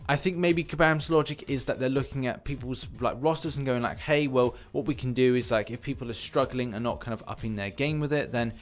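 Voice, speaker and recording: A man's voice: medium pitch, brisk, few pauses. A man hosting a gaming news video, talking in a chatty, rambling, conversational way with casual commentary and asides.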